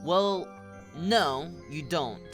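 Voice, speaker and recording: A man's voice: a little high, expressive, very slow, a bit bright, faint music behind, exaggerated voice. A man playing a meek, deferential demoted underling in an audio drama, hesitant and polite. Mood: meek, grateful. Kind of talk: scripted audio drama acting.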